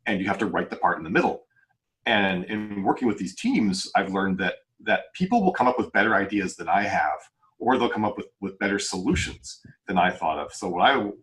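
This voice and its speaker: A man's voice: sits low, fairly bright tone, poor audio. A man in casual panel chat, thinking aloud in a rambling, explanatory way.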